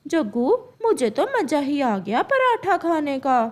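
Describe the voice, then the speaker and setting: A woman plays a greedy mother crow from a cartoon. Her high voice moves up and down freely as she speaks with gloating relish. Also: sing-song, unhurried. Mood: greedy delight. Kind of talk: children's cartoon story voice.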